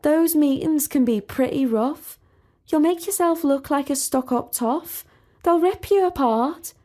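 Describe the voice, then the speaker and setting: A woman's voice: sits high, unhurried, mellow, pitched above the performer's own voice. Woman doing an earnest, pleading student friend.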